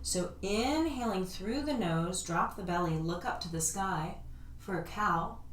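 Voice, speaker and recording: A woman leading a yoga class, giving calm, soothing step-by-step cues. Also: mid-range voice, measured pace, a little hiss or hum. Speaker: yoga instructor. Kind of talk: guided yoga class instruction. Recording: roomy echo, voice from a distance.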